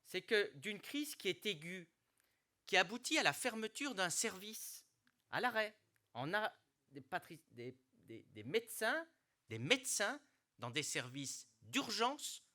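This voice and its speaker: A middle-aged man, a mayor, giving a formal New Year speech to hospital staff, earnest and measured, restarting phrases and pressing on key words. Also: a little high, bright, forceful tone. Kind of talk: formal public speech with hesitations. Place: hospital hall.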